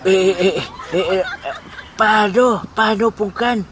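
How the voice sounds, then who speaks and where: A man with a Western Visayan accent playing an excitable, bewildered cockfighter who dotes on his rooster, in a comedic audio drama, with exclamatory, stammering delivery. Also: sits high, slow pace, a little hiss or hum. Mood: amazed. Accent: Filipino, Western Visayan.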